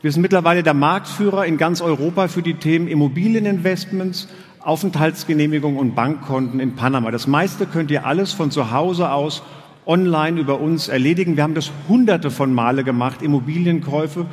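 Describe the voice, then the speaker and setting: A man's voice: a little high, a little fast. A middle-aged man from Germany giving a sales presentation to an audience as a guest speaker. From Frankfurt, Germany. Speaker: guest speaker, investment advisor. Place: large hall, on stage. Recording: long hall reverb.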